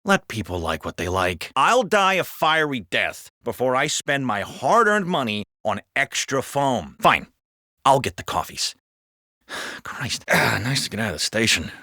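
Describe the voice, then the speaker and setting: A world-weary male patrol cop from a video game. He bickers with very animated intonation and a bright, tense tone. The sound is dry and close, the delivery sardonic and sweary. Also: medium pitch, measured pace, no echo, full character voice. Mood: wry, bickering. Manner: sardonic, profane, conversational bickering. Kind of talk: video game character barks and banter.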